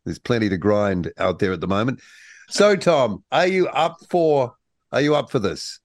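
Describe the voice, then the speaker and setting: Playful radio host with a dry sound. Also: male voice, mid-range voice, very animated, normal speed, no echo. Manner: jokey, playful, acts out voices in anecdotes. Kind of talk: radio talk show.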